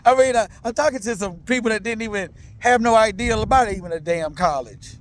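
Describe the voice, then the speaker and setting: Animated man telling his life story. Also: sits high, brisk. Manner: animated, repetitive, slangy storytelling with many asides to listeners. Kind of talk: candid testimonial talk.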